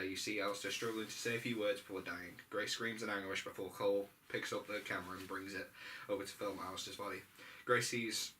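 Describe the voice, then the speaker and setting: Bright-voiced man narrating, distant mic. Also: on the low side. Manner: stumbling over the script. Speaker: narrator at a script read-through. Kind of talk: reading stage directions aloud, amateur. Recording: distant mic, faint room noise.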